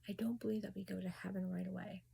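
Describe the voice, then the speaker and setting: A woman talking casually about spirits and grief in a soft whisper, hesitant and full of restarts. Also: medium pitch, fairly even intonation, quick pace, creaky, slightly rough. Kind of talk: casual personal talk.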